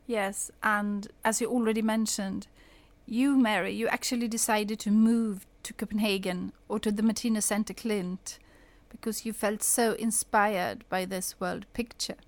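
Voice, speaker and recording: A woman's voice: medium pitch, fairly even intonation, a little slow, few pauses, no echo. Woman hosting, dry close sound. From Sweden. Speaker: podcast host. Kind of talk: conversational interviewing.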